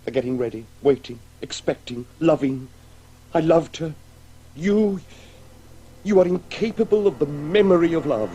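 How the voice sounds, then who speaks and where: A husky, bitter grieving man. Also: fairly high pitch, mellow, dull audio. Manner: halting, reproachful phrases. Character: bitter grieving father, quietly accusing. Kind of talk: radio play acting.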